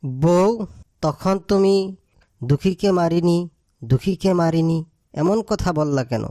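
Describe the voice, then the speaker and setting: Cartoon jackal father, voiced by a woman, low mellow voice, dry and close. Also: dry recording. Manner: measured, gentle questioning. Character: jackal father and husband, grieving and calm.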